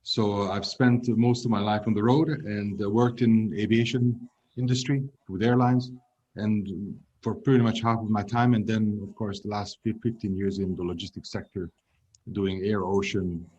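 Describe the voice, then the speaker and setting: Measured Hungarian-born man in his fifties. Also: on the low side, steady tone, a little mellow, slight background noise. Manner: measured, trails off at phrase ends, restarts words. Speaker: guest, logistics executive. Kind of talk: interview answers.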